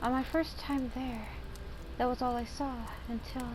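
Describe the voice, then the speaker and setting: A woman's voice: sits high, fairly even intonation, measured pace, poor audio. A woman game streamer giving informal, reactive playthrough commentary and reading game text aloud. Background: game music.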